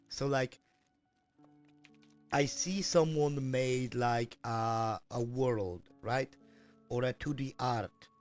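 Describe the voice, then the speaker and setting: A man streaming and chatting casually as he works, hesitant with lots of fillers and self-corrections. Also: mid-range voice. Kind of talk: casual live-stream chat while working.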